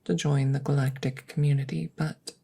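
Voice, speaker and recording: A woman playing a detached, formal alien scientist in an ASMR audio roleplay, speaking in a measured, breathy way that slips into whispers. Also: very deep voice, flat delivery, dark tone, full character voice. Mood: clinical, matter-of-fact.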